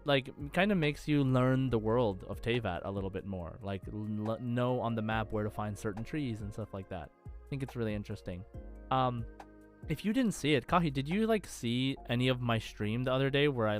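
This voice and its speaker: A man with an average-pitched voice co-hosts a gaming podcast. He talks casually and enthusiastically, as if chatting with a friend. Music plays behind his voice. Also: moderate pace. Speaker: podcast host.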